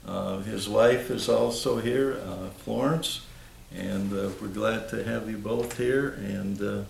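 Interviewer: older male volunteer, American accent, creaky voice, echoey room with slight noise. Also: lowish voice, fairly even intonation, takes it slowly. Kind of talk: formal oral history interview. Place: small office indoors, seated. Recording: roomy, faint background noise.

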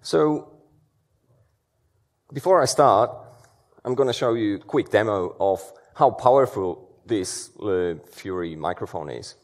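Man, thirties, relaxed stage presenter who talks through restarts. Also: on the low side, unhurried. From Poland. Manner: conversational, many fillers and restarts. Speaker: security conference speaker. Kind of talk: conference talk. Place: large dark auditorium stage.

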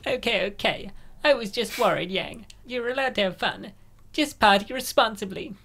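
Woman playing a creaky-voiced, sardonic young cat-girl. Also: on the high side, normal speed, fairly bright tone. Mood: relenting, stern. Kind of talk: comic dub voice acting.

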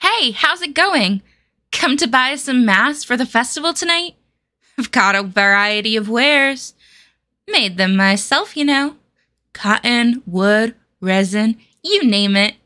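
A woman's voice: a little high, animated, takes it slowly, bright, forceful tone, heavily acted. A woman voicing a friendly, sly festival merchant with coaxing sales patter in a fantasy game demo.

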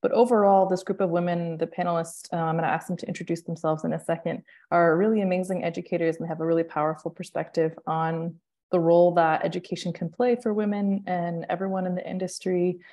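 Mellow low-voiced panel moderator woman. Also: level tone, fast. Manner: friendly, hesitant with fillers. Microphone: video call.

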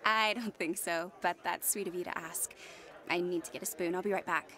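An actress playing a kind, gently teasing young woman in a radio drama. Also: on the low side, steady tone, fairly quick. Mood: friendly. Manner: friendly, playful.

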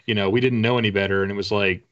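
Middle aged guy w low voice, excited rambly storytelling in a casual interview, bit muffled call sound. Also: rapid-fire. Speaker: guest author. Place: indoor room with bookshelves, on a video call. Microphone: video call. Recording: slightly dull video-call audio.

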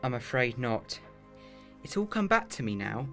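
A man's voice: medium pitch, sing-song, moderate pace, a bit bright, faint music behind. A man playing a serious, hesitant time traveller in a full-cast audio drama. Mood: resigned.

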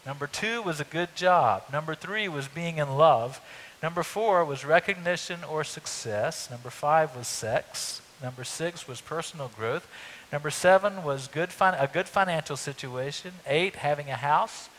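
A middle-aged man preaching a sermon on a church stage, talking in a conversational way with lively emphasis. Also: fairly high pitch, moderate pace, poor audio. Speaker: pastor. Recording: faint hiss.

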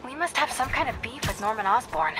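An American woman playing a hero's sharp, caring young confidante in a video game, talking naturally. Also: on the high side, very animated, fast talker, music in the background. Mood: concerned. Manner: natural, conversational.

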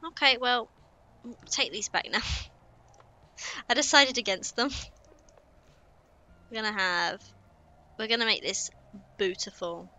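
A woman's voice: fairly high pitch, takes it slowly, long pauses, bright, forceful tone. A young woman, chatting casually to herself while playing a building game on stream. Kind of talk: casual gameplay commentary.

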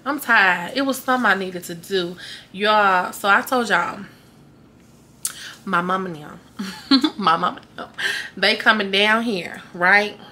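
A woman's voice: mid-range voice, a little slow, pauses often, bright, forceful tone, a little hiss or hum. A young woman, chatting casually to the camera at home at night, tired and rambling, often trailing off to herself. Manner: tired, rambling, talks to camera and her dog. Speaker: vlogger. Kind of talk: casual vlog chat.

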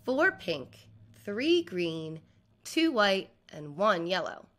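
A woman's voice: medium pitch, sing-song, very slow, a bit bright, full character voice. A woman reading the part of a helpful young brother in a storybook, counting out items carefully. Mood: eager. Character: helpful young brother offering his collected treasures.

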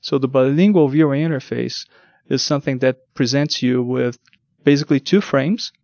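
A man's voice: mid-range voice, moderate pace, a little mellow, no echo, dull audio. A man whose first language is Portuguese, explaining technical work as an interview guest, with frequent ums and repeated words. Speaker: guest, translation test lead.

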